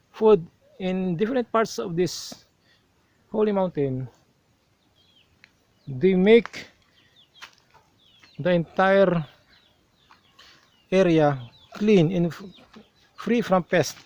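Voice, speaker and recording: A man with a Filipino accent narrates his travel video outdoors at a measured, slow pace. His voice sits a little high, and he explains each sight patiently, backing up to restart a word now and then. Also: animated, darkish tone. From Philippines. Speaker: travel vlogger. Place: outdoors on a hilltop shrine.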